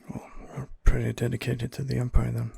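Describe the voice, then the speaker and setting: A man doing ASMR gameplay commentary, musing to himself in a hesitant, unhurried way. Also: medium pitch, completely flat delivery, gravelly, warm tone, husky, dry recording. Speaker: video game player narrating his playthrough. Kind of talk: ASMR game commentary, murmured in-character musing.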